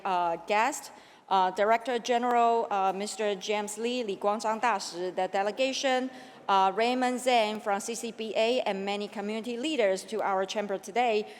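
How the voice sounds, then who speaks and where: Taiwanese-accented woman speaking in an echoing hall. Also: medium pitch. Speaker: state senator. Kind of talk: prepared floor speech in a legislature. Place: large indoor legislative chamber. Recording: echoey hall with long reverb.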